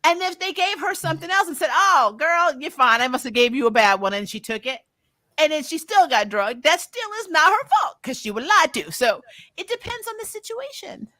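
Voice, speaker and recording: A woman's voice: high-pitched, expressive, a little fast, pushed and bright. A woman co-hosting a casual review livestream, chatting and reading out comments in a teasing way, often laughing. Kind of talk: casual chat, reading out live viewer comments.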